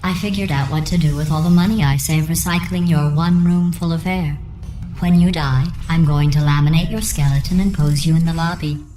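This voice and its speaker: A woman voicing a coldly cruel female game AI with robotic processing, deadpan, sarcastic and falsely sweet. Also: low voice, echoey room, low-quality recording. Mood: sweetly menacing. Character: female artificial intelligence running tests, passive-aggressive, coldly cruel. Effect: synthetic robotic processing. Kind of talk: video game character dialogue.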